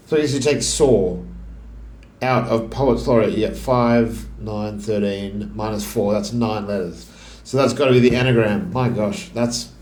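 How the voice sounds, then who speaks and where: A man thinks aloud in a casual, musing, self-questioning way as he solves puzzles, his voice sitting deeper than usual. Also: a little mellow. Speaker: crossword solver and video host. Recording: echoey room.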